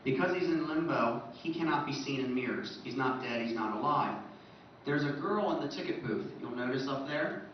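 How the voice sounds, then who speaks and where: A man's voice: mid-range voice, normal speed. A man giving an informal illustrated lecture as a presenter at a fan convention, recorded in an echoey room from a distance. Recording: echoey room, distant muffled mic, faint noise.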